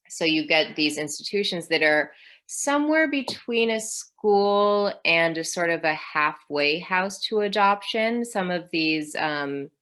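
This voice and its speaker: A woman's voice: deep voice, fairly bright tone. A woman in her thirties, a historian guest in an interview, talking through ideas thoughtfully with frequent ums and restarts. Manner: thoughtful, with many fillers. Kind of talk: academic interview.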